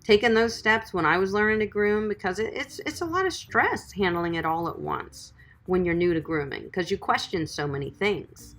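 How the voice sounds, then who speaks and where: A woman's voice: medium pitch, lively intonation, normal speed, fairly bright tone. A woman dog groomer chatting on a livestream, reading viewer comments and giving friendly, encouraging advice. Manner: chatty, encouraging, calls viewers sweetie and guys.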